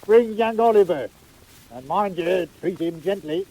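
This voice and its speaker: An actor playing a self-important, impatient British workhouse master, curt and blustering, in a 1940s audio drama. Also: male voice, sits high, expressive, measured pace, gravelly, warm tone, full character voice, rough recording. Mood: officious. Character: pompous, gruff, impatient middle-aged male workhouse master.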